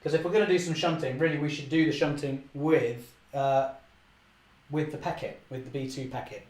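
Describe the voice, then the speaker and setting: A young man, slightly hoarse, chatting cheerfully through a live model railway session and correcting himself often. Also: mid-range voice, reverberant. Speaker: model railway channel host. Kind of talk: live-stream running commentary.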